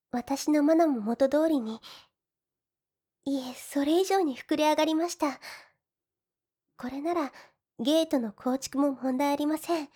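High, soft-toned gentle slime girl voiced by a woman. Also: natural voice. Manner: soft, polite, deferential, shyly hesitant. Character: gentle, devoted, easily flustered slime woman servant from fantasy world. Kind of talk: Japanese ASMR situation voice drama.

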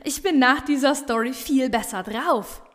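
A woman's voice: high voice, a little slow, long reverb tail. A woman with a regional dialect hosting a motivational solo podcast, talking emphatically and energetically, often repeating phrases for emphasis. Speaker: business coach and podcast host.

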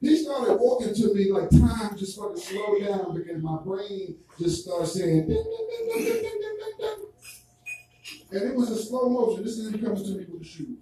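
A man with a Jamaican accent doing stand-up, talking animatedly and breaking into loud character voices. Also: high voice, normal speed, hardly any pauses, faint music behind. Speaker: stand-up comedian. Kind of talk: stand-up routine. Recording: roomy echo, distant mic, faint noise.

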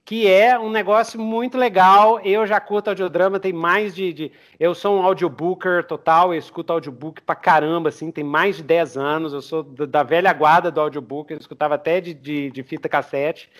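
A man's voice: on the high side, steady tone, moderate pace, few pauses, fairly bright tone. A Brazilian man hosting a casual podcast interview, speaking informally with slang and repeated phrases. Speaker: podcast host.

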